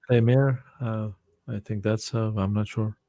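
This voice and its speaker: A man co-hosting a casual livestream, relaxed and agreeable, reading chat comments aloud. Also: fairly low pitch, unhurried, no echo. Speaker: livestream co-host.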